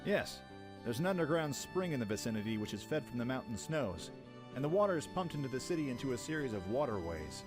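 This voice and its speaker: A man playing a calm, knowledgeable traveler in an audio drama, explaining things in a measured way. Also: mid-range voice, music in the background, close to an everyday voice. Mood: calm, informative. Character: calm, knowledgeable male traveler guiding companions. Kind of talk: radio-play acting.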